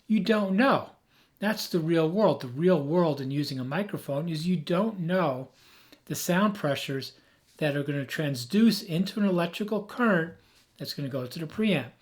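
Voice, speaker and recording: A man who has lost his voice, hoarse, giving an emphatic, rambling off-the-cuff tech explanation as a video host. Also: on the high side, animated, normal speed. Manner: emphatic, rambling, with frequent restarts.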